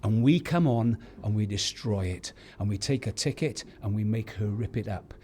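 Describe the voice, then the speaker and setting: A man's voice: fairly low pitch, normal speed, mellow. A middle-aged or older man, a stage actor, giving chatty interview answers backstage. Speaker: pantomime actor, award winner. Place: backstage at an awards venue.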